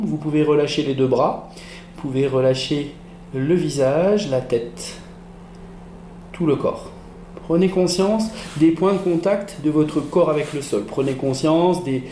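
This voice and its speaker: A man leading a guided relaxation as a yoga teacher, giving soothing, measured instructions with pauses. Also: on the high side, dark tone, a little hiss or hum. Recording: roomy echo with music behind. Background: relaxation music.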